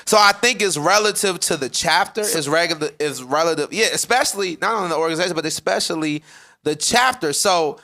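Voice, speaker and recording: A man's voice: fairly high pitch, lively intonation, brisk. A man co-hosting a podcast, explaining ideas step by step and checking in with "right?". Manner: explanatory, ends points with "right?", builds to loud emphasis. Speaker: podcast co-host. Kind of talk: casual podcast discussion.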